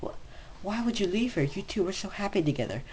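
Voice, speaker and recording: Man playing astonished young man, earnest questioning, raised pitch, amateur story reading, noisy home recording. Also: big pitch swings, fast talker, darkish tone. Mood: bewildered. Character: astonished young man, flattered by his friend's affection.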